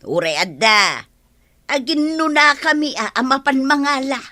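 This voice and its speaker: Casting: scoffing Ilocano village wife, lively swooping delivery, somewhat high voice, comedy radio serial. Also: female voice, measured pace, exaggerated voice. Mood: dismissive.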